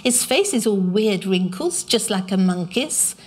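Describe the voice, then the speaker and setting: A woman voicing a loud, cheeky small child with a shrill voice. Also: lively intonation, unhurried. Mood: curious. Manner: shrill and blunt.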